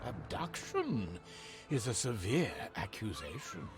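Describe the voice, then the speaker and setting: An actor playing a courtly royal ambassador in a video game, speaking in a formal, urbane way. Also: male voice, mid-range voice, sing-song, slow pace, noisy background. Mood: unruffled, dismissive. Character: courtly, smooth-tongued male ambassador to the Queen. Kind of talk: video game cutscene acting. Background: music.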